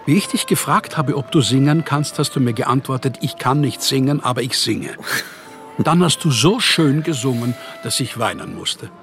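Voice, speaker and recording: An actor playing a proud, bitter, needy young writer in a German radio play, speaking in measured, formal literary phrases. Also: male voice, medium pitch, lively intonation, fairly bright tone, faint music behind. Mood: reproachful.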